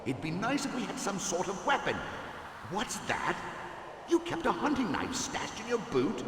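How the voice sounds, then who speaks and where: A skittish, posh English gentleman adventurer from a video game. His fairly high voice swoops wildly with pompous, theatrical flair. It rings in a long cavernous echo over clear noise. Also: big pitch swings, normal speed. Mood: uneasy. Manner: pompous, theatrical, old-fashioned exclamations. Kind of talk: video game character acting. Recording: echoey cave reverb, distant, noisy game audio.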